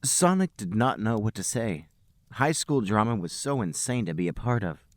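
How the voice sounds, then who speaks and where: Man, podcast reader, lower voice, lively intonation, mock-serious, comic dramatic narration, dry sound. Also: moderate pace, dry recording. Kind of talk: comic dramatic reading of amateur fiction.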